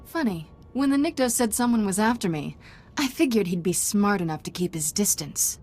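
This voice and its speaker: A woman's voice: mid-range voice, lively intonation, normal speed, a little mellow, natural voice. A woman acting a young fallen Jedi who leads a cult in a video game, taunting, boastful and fanatical. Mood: cocky, taunting.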